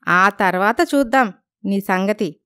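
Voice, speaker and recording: A woman's voice: mid-range voice, measured pace, a bit bright, clear, resonant tone, dry recording, studio quality, close to an everyday voice. A woman voicing a scheming, haughty young girlfriend in a cartoon story, pert in manner. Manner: pert, mixes in English phrases.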